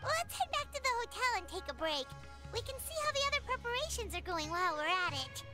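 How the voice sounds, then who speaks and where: A woman voicing a small, excitable female sprite companion in a chirpy, eager way. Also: very high-pitched, a little fast, music in the background.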